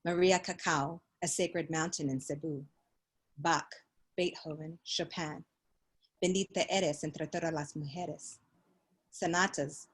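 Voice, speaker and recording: A woman reading her own poem aloud on a video call, measured and incantatory. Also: deep voice, steady tone, husky, low-quality recording. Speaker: workshop participant.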